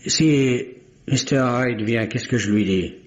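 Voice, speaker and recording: An actor playing a gruff, grudging tobacconist in a French radio mystery, talking in curt, guarded, colloquial bursts. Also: male voice, medium pitch, slow pace, natural voice. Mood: guarded, puzzled. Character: gruff, wary, grudging male London tobacconist. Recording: dull, muffled old radio recording.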